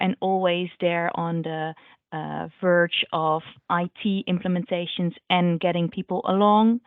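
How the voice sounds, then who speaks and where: A woman's voice: medium pitch, clear, resonant tone, dry recording, phone-quality sound. A woman introducing herself as a change manager on a panel call, friendly, with fillers. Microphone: video call.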